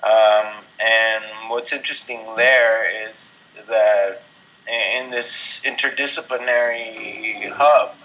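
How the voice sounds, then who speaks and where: A middle-aged man from Venezuela speaking as an interview guest, thoughtful and halting, with many ums and restarts. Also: lowish voice, steady tone, sharp tone, low-quality recording. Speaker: interview guest, artist and composer. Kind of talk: casual interview talk.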